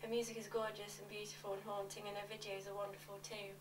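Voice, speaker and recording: A woman reading scripted confessions, awkward and deadpan. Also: medium pitch, fast talker. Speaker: reader of fans' confessions. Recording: echoey room, distant mic, faint noise.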